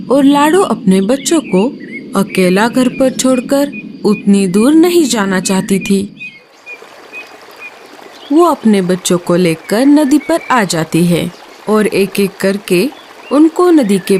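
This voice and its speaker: Expressive woman storybook narrator. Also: mid-range voice, a little hiss or hum.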